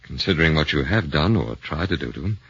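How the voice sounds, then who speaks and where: An actor playing an earnest, flustered defense lawyer who speaks hesitantly, in a 1940s radio drama. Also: male voice, rumbling low, expressive, fast, a bit bright, breathy edge, no room sound, full character voice. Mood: polite, uneasy. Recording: dull lo-fi 1940s radio broadcast.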